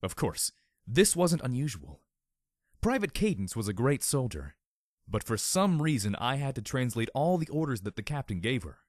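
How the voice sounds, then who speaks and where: Male narrator, highly animated mock-serious storybook read, mid pitch, dry close sound. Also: moderate pace, dry recording. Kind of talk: overly dramatic scripted narration.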